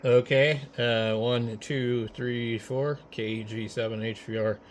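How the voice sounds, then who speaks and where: A man's voice: medium pitch, takes it slowly. Man chatting on ham radio, noisy sound. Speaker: amateur radio operator. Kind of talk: casual chat over ham radio. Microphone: radio microphones, switched between hand mics. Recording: noisy amateur radio setup, steady background noise.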